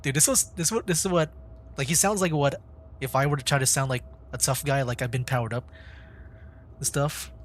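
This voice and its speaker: A man's voice: medium pitch, a little fast. A man who streams games as a VTuber, giving casual live reactions to the story.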